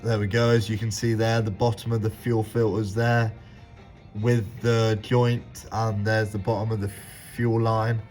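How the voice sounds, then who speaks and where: A man with a bit of a cold explains a car repair step by step, often stopping to correct himself. His voice sits low and he speaks slowly. Faint music plays underneath. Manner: halting, self-correcting, steady walkthrough. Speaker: home mechanic. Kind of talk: casual step-by-step repair commentary.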